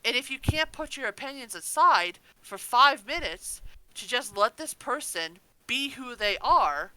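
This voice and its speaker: Female podcast co-host. Sharp, animated delivery, emphatic casual opinions, unafraid to curse. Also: a little high, measured pace, clear, resonant tone, dry recording, poor audio. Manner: emphatic, ranting, freely swearing.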